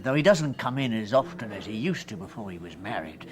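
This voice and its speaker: A deferential male waiter, hesitant yet chatty. His intonation is lively, with clear restaurant chatter around him in this radio drama. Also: fairly low pitch, brisk. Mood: obliging. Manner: hesitant, obliging. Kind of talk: period radio drama acting. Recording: off-mic amid restaurant chatter.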